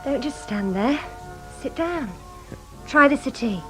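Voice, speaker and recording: A woman's voice: high voice, expressive, takes it slowly, music in the background. A woman playing a tipsy, childlike burglar's wife with bitterness underneath, in a radio crime drama. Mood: tipsy, anxious.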